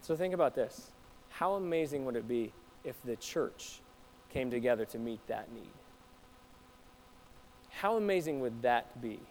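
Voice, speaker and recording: A man's voice: mid-range voice, expressive, measured pace, pauses often, darkish tone, slight background noise, low-quality recording. A man preaching to his congregation in a conversational way, putting questions to the listeners. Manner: conversational, asks the audience questions. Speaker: pastor. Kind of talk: sermon to a congregation. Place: church.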